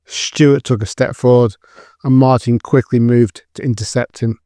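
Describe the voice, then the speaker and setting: A man's voice: medium pitch, fairly even intonation, normal speed, dark tone, no room sound, studio quality. A man reading scripted dramatic narration as the narrator of an audio drama.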